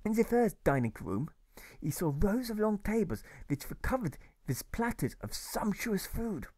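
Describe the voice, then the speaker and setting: A gentle, gracious German shopkeeper, put on by a man, speaks with a German accent in a raised, lilting voice. His manner is polite and earnest, with a habit of asking yeah. The sound is dry and close. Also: sits high, sing-song, measured pace, dry recording, pitched above the performer's own voice, exaggerated voice. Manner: gentle, courteous, says yeah often.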